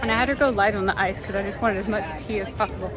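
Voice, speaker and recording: A woman vlogging while walking through a theme park, chatty and self-deprecating, her voice slightly hoarse. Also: medium pitch, fairly even intonation, very fast. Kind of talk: casual vlog narration. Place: outdoor theme park, crowds and music around. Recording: loud park crowd and music behind.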